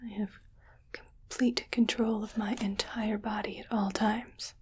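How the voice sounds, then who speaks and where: A woman voicing a condescending female alien in an ASMR roleplay, fascinated and disgusted by humans, in a breathy, deliberate, haughty whisper. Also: mid-range voice, flat delivery, darkish tone, slight background noise, natural voice. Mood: fascinated disdain.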